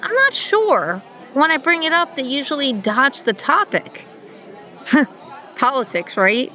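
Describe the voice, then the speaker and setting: A woman's voice: sits high, very animated, slow, sharp tone, some chatter behind, full character voice. A woman playing a frustrated, thoughtful company director in a radio play, speaking in a hesitant, conversational way.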